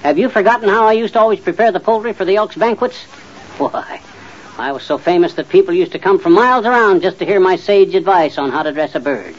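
An actor playing a blustery, folksy, know-it-all middle-aged husband in an old-time radio comedy. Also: male voice, high-pitched, lively intonation, quick pace. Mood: boastful. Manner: folksy, boastful, muttering and sputtering. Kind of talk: 1940s-50s radio comedy acting. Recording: muffled old radio recording.

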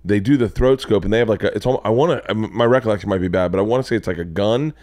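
A man in his forties hosting a podcast, telling stories in an animated way and swearing freely, sitting in a studio lounge at a boom mic. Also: racing, dry recording. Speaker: podcast host. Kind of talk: casual podcast storytelling. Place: studio lounge with leather couches. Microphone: boom mic on arm.

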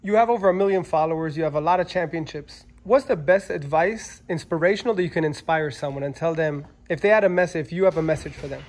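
A man working as a boxing reporter, interviewing in a gym with chatty, quick questions. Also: fairly high pitch, a little mellow.

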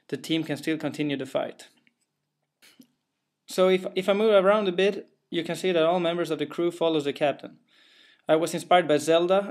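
A young developer from Sweden, his English carrying a clear Swedish accent, talks through his own game with an untheatrical, matter-of-fact delivery. His voice sits a touch higher than most men's, and he leans on small fillers and careful phrasing as he explains. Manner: careful, plain, a little hesitant with fillers. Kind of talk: narrated gameplay walkthrough.

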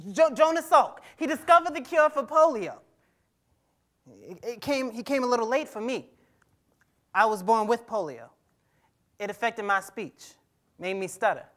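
A man's voice: very high voice, fairly bright tone, heavily acted. A young man playing a proud, bitter teenage boy from 1950s America in a staged reading, emphatic with an occasional stammer. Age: young adult. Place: theatre stage before a seated audience. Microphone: clip-on lapel mic.

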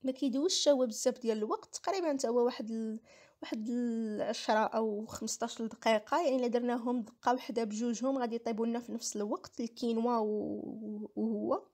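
A Moroccan woman narrates a home recipe in a casual, explanatory way. Her voice is high and soft-edged, a little dark in tone. She talks quickly and often repeats herself as she goes. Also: steady tone. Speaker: home cooking vlogger.

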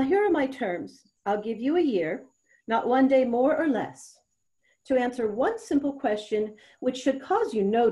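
A woman's voice: fairly high pitch, dark tone. A woman playing a stern, commanding queen in a verse readers' theatre piece, speaking formally and with authority. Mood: threatening. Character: imperious queen, stern, commanding, passing judgment on a youth. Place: indoors at home on a video call.

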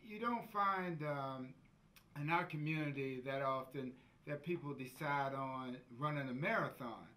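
A man in a documentary interview, speaking slowly with many fillers. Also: mid-range voice, sharp tone.

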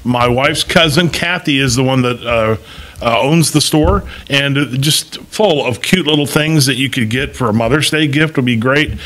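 A man's voice: medium pitch, normal speed, fairly bright tone, rough recording. A man co-hosting a casual studio talk show, explaining things in an easygoing, plain way into a desk mic.

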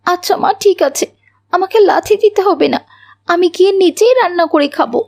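A meek little cartoon schoolgirl, super high n creaky, whiny. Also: lively intonation, moderate pace, darkish tone, full character voice. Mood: pleading. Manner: plaintive, whiny. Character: young madrasa schoolgirl, hungry, neglected, meek daughter.

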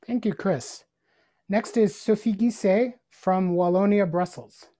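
A woman hosting a video call, briskly handing off to each speaker. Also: medium pitch, dark tone. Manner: brisk, short handoffs. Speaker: host. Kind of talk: moderating introductions.

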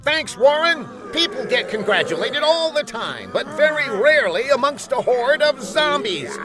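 A man's voice: very high voice, very animated, normal speed, crowd noise. An actor playing a self-important, scheming male field reporter in a comedy radio play. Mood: nervous cheer.

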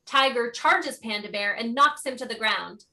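A woman's voice: a little high, moderate pace, sharp tone. A woman narrator reading stage directions as scripted narration.

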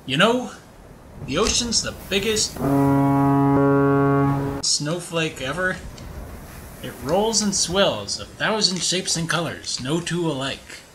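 A man's voice: a little high, very animated, slow, long pauses, over loud music, pitched above the performer's own voice, rough recording. A man playing a weathered, brooding old lighthouse keeper who muses wistfully in a folksy way, in an amateur audio drama. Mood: musing. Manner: folksy, musing delivery.

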